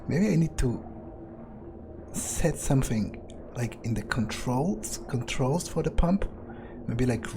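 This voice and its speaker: Slow-talking man muttering through a game. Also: medium pitch, noisy background. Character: grumbling, vengeful male vampire thinking aloud. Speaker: let's player. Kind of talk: in-character game playthrough, thinking aloud.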